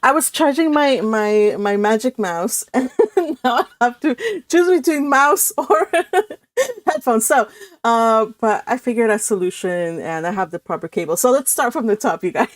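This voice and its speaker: A woman's voice: on the high side, expressive, normal speed, dry recording, clean studio sound. A woman hosting and narrating a storybook read-along. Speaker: host and narrator.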